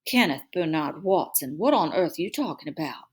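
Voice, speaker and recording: A woman's voice: deep voice, lively intonation, normal speed, husky. A woman voicing a worried, doting mother in a storybook, dramatic and soothing, with folksy phrasing. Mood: alarmed. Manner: Southern-style talk when worried, soothing.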